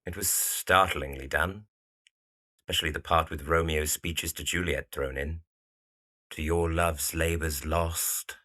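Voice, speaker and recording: A man's voice: rumbling low, steady tone, measured pace, bright, forceful tone, full character voice. A man playing an earnest, lovestruck young architect in a period audio drama. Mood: polite, admiring.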